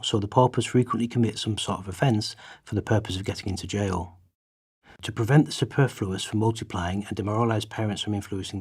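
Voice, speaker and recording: A man playing a grave writer from past centuries, giving a measured, formal dramatised reading in a radio play. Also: fairly low pitch, a little mellow, husky, dry recording, studio quality. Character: grave male social writers of past centuries chronicling poverty.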